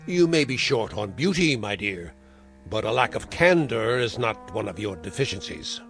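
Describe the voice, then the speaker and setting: Spiteful old uncle, wildly swooping voice. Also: male voice, fairly low pitch, very animated, moderate pace, a bit bright, soft background music. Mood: sardonic, mocking. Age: older. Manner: theatrical, ornate sardonic phrasing. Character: spiteful, frail old tyrant uncle, mocking and grandiose. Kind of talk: vintage television drama acting.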